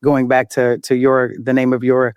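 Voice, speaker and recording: Male moderator, closely recorded, halting delivery. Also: medium pitch, monotone, a little mellow, clear, resonant tone, no room sound, studio quality. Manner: affirming, halting with repeated words. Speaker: panel host, moderator. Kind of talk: conversational moderating, reading listener comments.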